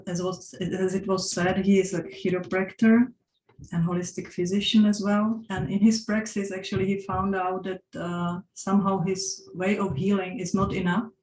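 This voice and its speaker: Hesitant Czech woman presenting, flat delivery. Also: lowish voice, roomy sound, a little hiss or hum, rough recording. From Czech Republic. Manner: hesitant, frequent restarts and fillers. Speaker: workshop presenter. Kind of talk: presenting a talk with slides.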